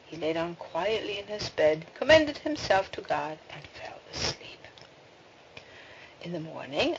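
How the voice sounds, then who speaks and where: Woman reading fairy tales, very animated pitch. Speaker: storybook reader. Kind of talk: expressive fairy tale read-aloud. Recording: dull, noisy home recording with clicks.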